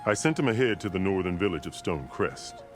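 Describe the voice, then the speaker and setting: Very deep-voiced, solemn warrior chief. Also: male voice, sing-song, a little fast. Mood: commanding, grave. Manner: solemn, formal, declamatory. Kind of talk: dramatic video game dialogue. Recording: music under the dialogue.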